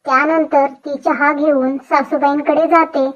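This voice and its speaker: Casting: female narrator, high voice, scripted storytelling, slightly lo-fi. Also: flat delivery, a little slow.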